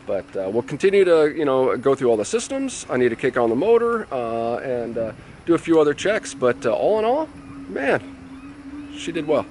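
A man's voice: mid-range voice, normal speed, slight background noise. A man in his thirties filming himself on his sailboat, talking casually to the camera with lots of fillers. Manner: relaxed, easygoing, frequent "uh" fillers. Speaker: sailing vlogger. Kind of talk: casual vlog talk to camera. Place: on a sailboat at a marina, cabin and deck. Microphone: handheld camera held at arm's length.

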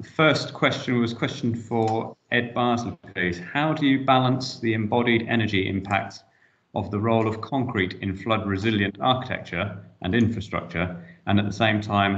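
A man's voice: fairly low pitch, a bit bright, dull audio. A man in his thirties chairing an online panel, talking hesitantly with many fillers. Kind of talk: casual online meeting moderation. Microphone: laptop mic on video call.

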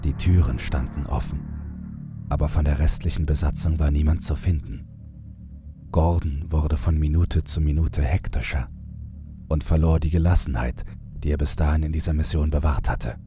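Very deep, dark-voiced male horror narrator. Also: steady tone, moderate pace, faint music behind. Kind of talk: scripted first-person horror narration.

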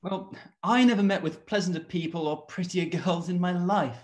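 A man plays a good-natured young gentleman. He is eager and earnest, speaking in Regency period-drama style. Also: high-pitched, moderate pace, lightly acted. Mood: earnest, cheerful. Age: young adult. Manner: enthusiastic, earnest. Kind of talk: period drama read-through. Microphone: video call, close framing.

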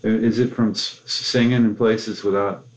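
A man's voice: fairly low pitch, level tone, normal speed. A man with an American accent asks questions as an interviewer, in a casual, curious and attentive way. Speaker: music journalist interviewer. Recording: muffled interview tape.

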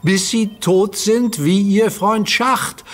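An actor playing a calm, firm hero who talks patiently and commands in a radio play. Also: male voice, high voice, slow. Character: calm heroic young man, firm leader.

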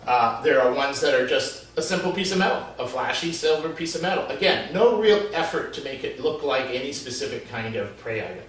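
A man in his fifties from the Florida Keys, an animal behaviorist explaining a concept in a measured way with frequent fillers, filmed on a covered porch. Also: mid-range voice, lively intonation, a bit bright, a little hiss or hum. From Florida Keys, USA. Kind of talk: explanatory video lesson. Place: covered porch with wooden wall. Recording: very echoey, slightly distant.

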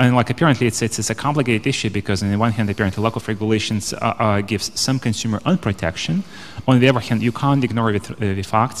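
Male panelist, lower register, halting off-the-cuff argument, live hall reverb on a handheld mic. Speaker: conference panelist, investor. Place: indoor conference stage. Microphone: handheld microphone.

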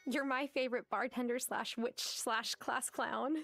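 An actress voicing a sweet, bashful young heroine in a video game. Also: female voice, a little high, steady tone, measured pace, gravelly, a bit bright, soft background music. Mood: affectionate.

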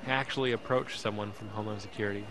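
An actor playing an anxious, emotional young man who narrates his ordeal in an audio drama, intense and halting. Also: on the low side, fairly even intonation, background noise, close to an everyday voice. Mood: tense, afraid. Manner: intense, halting, repeats words.

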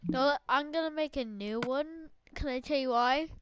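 A woman's voice: high voice, normal speed, slight background noise. A young woman chatting casually with a friend while gaming, bossy and prone to swearing. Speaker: gamer. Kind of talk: casual gameplay chat with a friend.

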